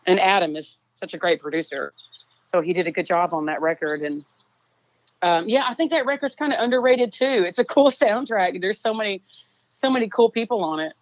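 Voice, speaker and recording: A Texan songwriter, a woman, chatting in an interview, relaxed and rambling with lots of fillers. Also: a little fast, muffled recording. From Texas. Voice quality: low singing voice. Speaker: songwriter guest. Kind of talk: casual interview chat.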